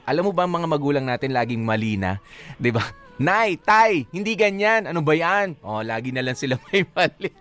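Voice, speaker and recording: A man's voice: on the high side, big pitch swings, moderate pace, fairly bright tone, clean tone. A man with a Filipino accent hosting a radio show, talking casually to listeners and mixing in English phrases. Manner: conversational, mixes in English phrases. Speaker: radio host.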